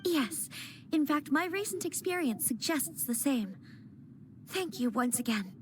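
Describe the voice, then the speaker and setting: Earnest young noble lady, high voice, formal and polite, bit of hiss. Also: animated, measured pace. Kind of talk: video game voice acting.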